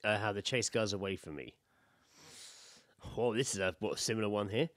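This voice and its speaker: A man's voice: lowish voice, normal speed, fairly bright tone, no echo, clean studio sound. A British man co-hosting a podcast in casual, jokey, sweary banter, sometimes reading stories out. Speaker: podcast co-host.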